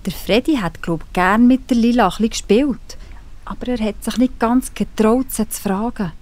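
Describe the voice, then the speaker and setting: A woman's voice: a little high, normal speed, darkish tone. A woman with a Swiss German accent narrating a children's story. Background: music.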